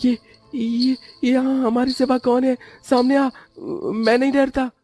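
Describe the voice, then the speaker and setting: A man's voice: very high-pitched, little pitch movement, a little slow, a little mellow, soft background music, pitched above the performer's own voice. A man voicing a frightened young intruder in a cartoon horror story, with a stammering, panicky manner. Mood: alarmed. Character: frightened young male intruder in haunted house.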